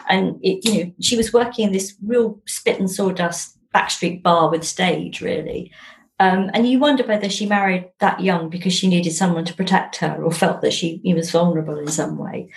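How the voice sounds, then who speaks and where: A woman's voice: on the low side, poor audio. A woman with an English accent, telling stories casually and chattily, often breaking off and restarting her phrases as she goes. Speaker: interview guest, novelist. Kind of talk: casual conversational storytelling.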